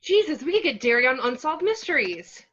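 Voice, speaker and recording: A woman's voice: sits high, sing-song, a little fast, fairly bright tone, dull audio, exaggerated voice. A woman reading as a brash, wisecracking teenage boy in an online table read, teasing and mocking.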